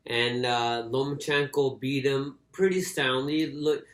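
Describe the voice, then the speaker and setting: A man co-hosting a casual sports podcast, speaking haltingly and often repeating his words as he goes. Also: mid-range voice, fairly even intonation, fairly bright tone. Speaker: boxing podcast co-host. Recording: echoey, roomy sound.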